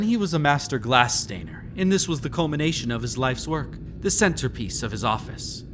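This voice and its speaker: A man's voice: mid-range voice, fairly bright tone. A mysterious keeper of a haunted house, played by a man, teases the listener with sinister glee. His mid-range voice stretches words theatrically, as in an immersive horror audio drama. There is audible background noise in the recording. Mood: grand, mock admiring. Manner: teasing, drawn-out words, theatrical asides to the listener. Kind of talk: scripted immersive audio roleplay, storytelling.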